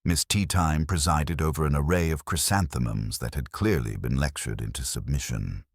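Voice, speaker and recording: Very deep, husky male narrator. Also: moderate pace, no room sound, clean studio sound. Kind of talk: scripted audiobook narration of a cosy mystery.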